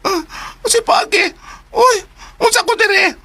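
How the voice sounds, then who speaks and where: A woman's voice: extremely high pitch, slow, pushed and bright, heavily acted. An actress with a Filipino accent playing a distressed, indignant woman who has been robbed, wailing and protesting in a radio drama.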